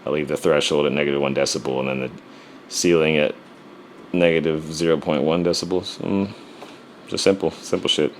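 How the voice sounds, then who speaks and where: Super deep voiced guy, chill and cussing, showing how he mixed his track. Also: fairly even intonation, brisk, a little hiss or hum. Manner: laid-back, profane, joking swagger. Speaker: rapper and music producer. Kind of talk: casual music production walkthrough.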